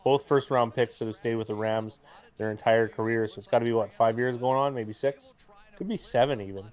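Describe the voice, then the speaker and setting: A young man with a booming voice, co-hosting a live football watch stream in rambling casual chat, mic clipped to his jacket. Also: fairly even intonation, brisk, darkish tone. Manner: rambling, talkative, full of restarts and fillers. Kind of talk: casual chat and game commentary. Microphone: jacket used as mic mount.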